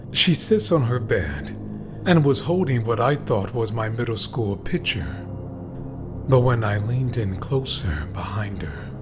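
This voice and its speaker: A man reading scripted horror stories in a dramatic, deliberate way, with phrases that often trail into a hush. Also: fairly low pitch. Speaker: horror story narrator. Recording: background music behind voice. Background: music.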